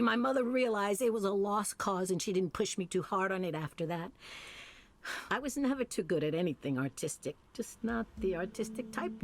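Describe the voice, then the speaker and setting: A woman playing a chatty, lonely, scatterbrained older lady who rambles wistfully in a visual novel. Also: mid-range voice. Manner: rambling, folksy, wistful storytelling. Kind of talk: naturalistic visual novel voice acting.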